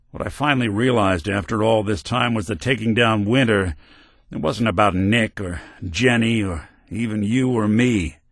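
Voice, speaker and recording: A man's voice: medium pitch, a bit bright, no echo, clean studio sound. An actor voicing a world-weary male synth detective in a video game, a robot carrying an old cop's memories, talking in a hard-boiled drawl. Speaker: companion character.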